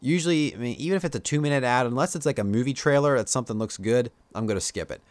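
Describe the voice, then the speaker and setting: A man's voice: medium pitch, racing, no room sound. A man with a Pennsylvania Dutch accent, talking casually to his viewers as a YouTuber, rambling with lots of fillers while giving his opinions. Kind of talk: casual live-stream commentary.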